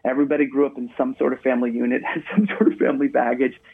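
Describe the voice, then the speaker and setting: A man from Maine talks as a radio guest, telling stories in a chatty, upbeat way. His voice has a gravelly, husky edge. It comes through a thin, tinny phone line. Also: medium pitch, fairly even intonation, very fast. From Maine, USA. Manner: chatty storyteller, upbeat, leans on "actually". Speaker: guest, international speaker. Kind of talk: casual interview conversation.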